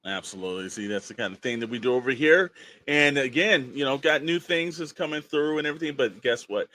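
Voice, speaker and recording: A man's voice: mid-range voice, fairly quick, bright, forceful tone. A man co-hosting a podcast, chatting casually to listeners and promoting the show. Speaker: podcast co-host.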